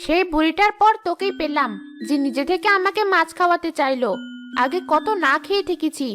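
A woman's voice: extremely high pitch, fairly quick, over music. A woman voicing a brash, greedy village ghost in a cartoon, with a blunt, grumbling, boastful manner. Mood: surprised, blunt, then bitter, self-pitying. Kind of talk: animated cartoon dialogue.

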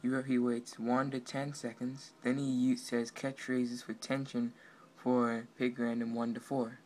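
Casual male tutorial narrator, halting and offhand, little pitch movement, faint background noise. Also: little pitch movement, darkish tone. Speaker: amateur game developer. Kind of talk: casual screen walkthrough, explaining his project. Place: indoors at a computer. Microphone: handheld camera pointed at monitor. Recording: noisy amateur room recording.

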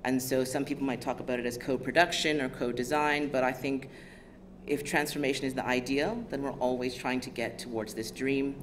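An American woman with a smooth, low voice, giving an academic lecture in a measured, thoughtful way. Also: fairly even intonation. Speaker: guest lecturer. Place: lecture theatre stage. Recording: lecture hall audio with background noise.

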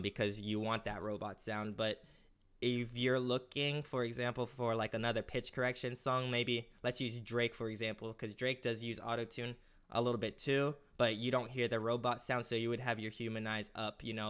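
A man's voice: mid-range voice, a bit bright, clear, resonant tone. A young man hosting a casual screen-recorded tutorial from a small room indoors, chatty and loose with plenty of fillers. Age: young adult. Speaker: music production tutorial host.

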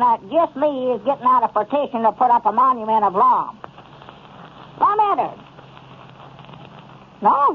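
High-voiced old country storekeeper. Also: male voice, exaggerated voice. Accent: Southern US rural. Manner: folksy, hesitant, rambling. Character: lovable old rural storekeeper, folksy and chuckling. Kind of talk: 1930s radio comedy acting. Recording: noisy, tinny old radio recording.

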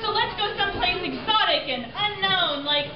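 An adventurous young rockfish acted by a woman speaks very high and lively, eager and exclamatory. The puppet show is recorded from afar in an echoey room with clear noise. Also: normal speed. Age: young adult. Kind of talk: children's educational puppet show acting. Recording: echoey room, distant mic, noisy and muffled.

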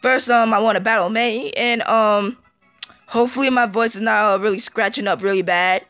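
A man with a hoarse, fading voice giving casual let's-play commentary, halting with ums and throat-clearing, recorded on a phone. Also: sits high, steady tone, bright, forceful tone, no room sound, soft background music. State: hoarse, losing his voice.

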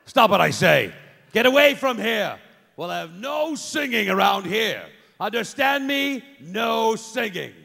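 An actor playing a grumpy old miser, barking gruff orders in a live stage radio play reading. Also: male voice, high-pitched, big pitch swings, a little slow, pushed and bright, full character voice. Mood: angry. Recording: echoey theater hall with audience.

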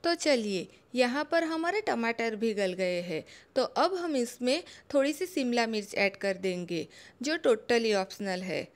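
Calm woman teaching a home recipe. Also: on the high side, measured pace. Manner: calm, instructive, homely guidance. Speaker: home cooking channel host. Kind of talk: step-by-step recipe voice-over.

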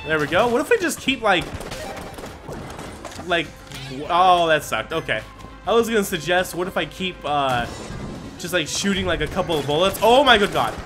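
A young man casually commentating a game he is playing, excitable, with lots of fillers and loud whoops. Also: on the high side. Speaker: gaming channel host. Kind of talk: casual let's play commentary. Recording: game music and gunfire behind voice. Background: game music and gunfire.

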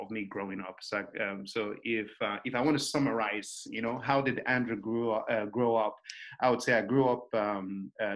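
A man's voice: fairly low pitch, fairly bright tone, low-quality recording. A man in his thirties from Nigeria with a Nigerian accent, talking casually about his upbringing over a webcam in a small room, with many ums and light laughs. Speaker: interviewee. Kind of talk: casual personal storytelling. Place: small room indoors, bright wall behind. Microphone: webcam on video call.

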